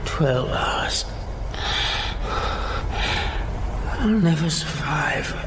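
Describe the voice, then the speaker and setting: An actor playing a trapped, freezing businessman in a radio drama, brooding and desperate, thinking aloud in halting phrases. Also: on the high side, bright, forceful tone, a little hiss or hum, heavily acted. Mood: weakening, exhausted.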